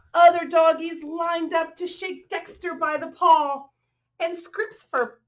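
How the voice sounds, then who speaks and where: Animated high-voiced woman reading a storybook aloud. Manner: animated, engaging listeners with questions and exclamations. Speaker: storyteller reading a picture book aloud to children. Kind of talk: expressive children's read-aloud with chatty asides.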